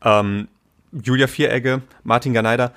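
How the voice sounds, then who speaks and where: A man's voice: mid-range voice, steady tone, normal speed, fairly bright tone, smooth. A young man hosting a podcast interview in a casual, chatty, joking way, with frequent filler sounds. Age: young adult.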